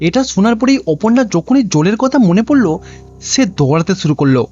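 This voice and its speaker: A man's voice: high voice, sing-song, a little fast, mellow, lo-fi sound, faint music behind. A man reading a scripted cartoon story as narrator.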